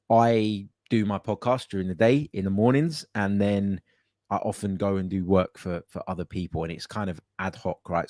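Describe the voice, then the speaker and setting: A man's voice: low-pitched, darkish tone, dry recording, studio quality. A man hosting a casual call-in podcast from his home office, chatting loosely with plenty of fillers and restarts. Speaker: podcast host.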